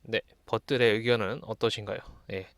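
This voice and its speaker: A man giving an explanatory lesson, reading texts aloud and commenting on them in a measured, didactic way. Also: lowish voice, fairly even intonation, no echo. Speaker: lecturer.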